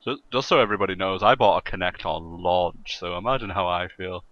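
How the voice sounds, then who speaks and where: A man with a lowish, sharp-edged voice talks casually on a gaming podcast. He is jokey and quick to laugh. The sound is dry and a little lo-fi, like online voice chat. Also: no echo. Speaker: podcast host and streamer.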